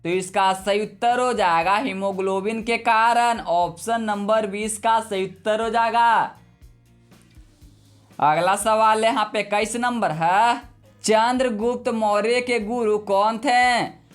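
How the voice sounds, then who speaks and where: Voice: mid-range voice, steady tone, slow. A quiz teacher reading exam questions and answers in a methodical, repetitive, scripted way. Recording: music bed behind the voice. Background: background music.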